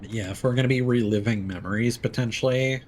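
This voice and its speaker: A man's voice: medium pitch, quick pace, slight background noise. A man giving casual live gameplay commentary, musing aloud and reading in-game notes out as he goes. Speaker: video game streamer.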